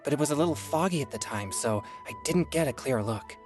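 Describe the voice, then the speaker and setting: Casting: serious, reserved young male exorcist in an anime game; low, courteous, soft music behind. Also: animated. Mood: earnest. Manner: polite, earnest, measured. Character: earnest, reserved teenage boy exorcist.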